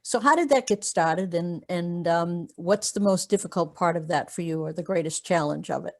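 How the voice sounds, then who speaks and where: A woman's voice: on the low side, normal speed, darkish tone. A woman hosting a video call interview, reading an introduction and then asking questions with frequent fillers. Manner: hesitant with many fillers when speaking freely. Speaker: blog host and interviewer.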